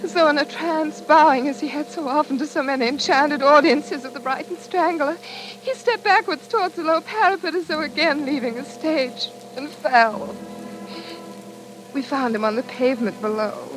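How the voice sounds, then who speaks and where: A woman's voice: high voice, moderate pace, soft background music, natural voice, rough recording. A woman playing the anxious, tender companion of a deranged actor, earnest and melodramatic in a 1940s radio thriller. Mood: grave, sorrowful. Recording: hissy vintage 1940s radio recording.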